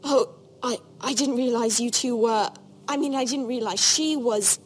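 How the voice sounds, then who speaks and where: A woman's voice: a little high, normal speed, a little hiss or hum, close to an everyday voice. A woman playing an earnest, troubled teenage noble girl in a dramatic fantasy video game, hesitant and urgent. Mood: flustered, urgent. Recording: music behind the voice.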